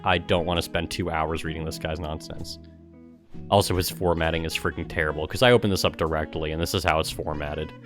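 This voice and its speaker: A man doing casual, mocking livestream commentary, sometimes reading aloud in a sarcastic way. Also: fairly low pitch. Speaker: streamer and gamer.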